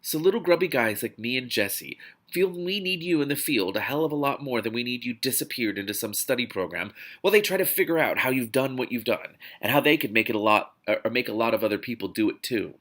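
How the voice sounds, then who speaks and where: A man's voice: medium pitch, expressive, fast, fairly bright tone. A man voicing a blunt, wisecracking male field agent from a novel, speechifying in emphatic slang. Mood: urgent, frank.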